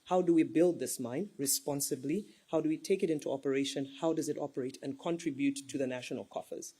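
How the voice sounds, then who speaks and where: Husky, mellow-voiced woman panelist. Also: low-pitched, fast talker. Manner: earnest, persuasive, lists examples. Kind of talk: panel discussion.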